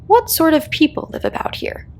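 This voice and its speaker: A woman's voice: very high voice, lively intonation, moderate pace, a little mellow, a little hiss or hum, voice pushed up. A woman voicing a polite, earnest, curious storybook girl who speaks in a prim, questioning way. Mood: timid, polite.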